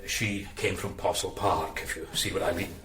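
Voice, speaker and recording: A man's voice: deep voice, fairly even intonation, moderate pace, bright, forceful tone, echoey room, a little hiss or hum. An actor playing a stiff, defensive middle-aged adoptive father in a radio drama, speaking in a prim, disapproving way.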